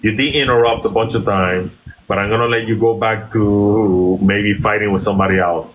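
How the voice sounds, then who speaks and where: A man's voice: lowish voice, fairly even intonation, normal speed, slight background noise, poor audio. A man born in Venezuela, a guest on a debate stream, talking in a rambling, hesitant way with many restarts. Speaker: guest caller. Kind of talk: casual debate chat.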